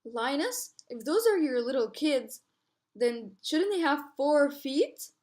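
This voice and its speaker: A woman's voice: extremely high pitch, lively intonation, slow pace, a bit bright, voice pushed up, heavily acted. A woman voicing a cautious jackal in a storybook fable, speaking carefully and tentatively. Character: cautious jackal questioning a lioness.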